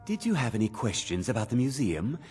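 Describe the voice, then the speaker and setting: A man's voice: medium pitch, sing-song, fairly quick, over music, close to an everyday voice. An actor playing an eager, ominous museum keeper in a video game, telling his lore in a dramatic, theatrical way. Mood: businesslike, intriguing. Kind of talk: scripted video game dialogue.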